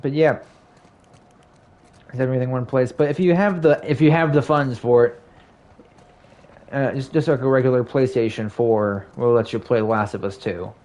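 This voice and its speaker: A man's voice: mid-range voice, normal speed, long pauses, a little mellow, muffled recording. A young man chatting casually on a live game stream, his voice slightly scratchy. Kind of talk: casual live stream chat over gameplay.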